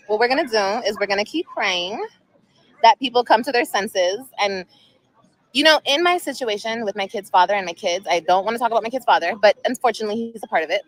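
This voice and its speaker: A woman's voice: fairly high pitch, low-quality recording. A woman in her thirties chatting casually on a livestream outdoors at sunset, emotional and rambling, repeating herself often. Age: 30s. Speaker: vlogger.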